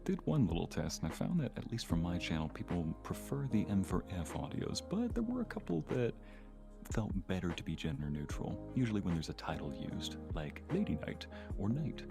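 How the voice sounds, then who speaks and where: A man's voice: sits low, huge pitch swings, a little fast, gravelly. A man chatting casually as a fellow voice actor and creator, inquisitive and explaining things with examples. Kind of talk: casual chat. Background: music.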